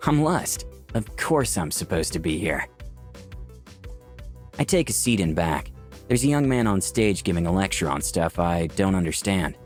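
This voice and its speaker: Man playing an animated, low-voiced, flirty Lust narrator. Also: moderate pace, soft background music. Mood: playful, curious. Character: flirty, cocky personification of Lust, narrating his own search. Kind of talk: first-person visual novel read aloud.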